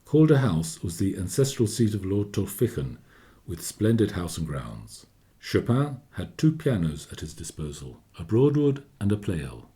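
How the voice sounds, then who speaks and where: An actor playing a friend of a composer, narrating his life in a measured, literary radio-play style. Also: deep voice, very animated, mellow. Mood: reflective.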